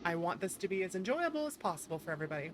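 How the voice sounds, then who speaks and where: A woman's voice: fairly low pitch, big pitch swings, rapid-fire, noisy background. A woman hosting a livestream in a casual, chatty and playful way. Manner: playful, chatty, thanks and greets viewers. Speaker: livestream host, VTuber.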